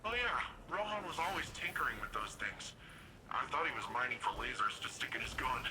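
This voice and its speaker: An actor playing a brash, upbeat young hero in a video game, with casual, slangy, animated delivery. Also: male voice, mid-range voice, brisk, background noise, natural voice. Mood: casual, curious.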